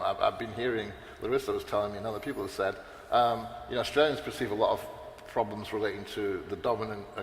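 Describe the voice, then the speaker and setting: A man on a conference panel, talking in a rambling, unscripted way with many restarts and fillers. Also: fairly low pitch. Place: large echoey hall. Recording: roomy hall reverb, faint background noise.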